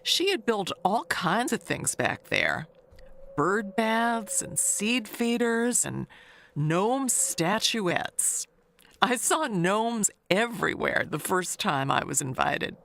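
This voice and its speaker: An actress plays a chatty neighbor woman in an audio drama. She gossips confidingly and rambles at an unhurried pace, her pitch rising and falling freely. There is background noise on the recording. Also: sing-song, creaky, fairly bright tone, heavily acted. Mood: confiding, wistful. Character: chatty, gossipy woman neighbor reminiscing about the previous owners. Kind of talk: audio drama monologue, conversational storytelling.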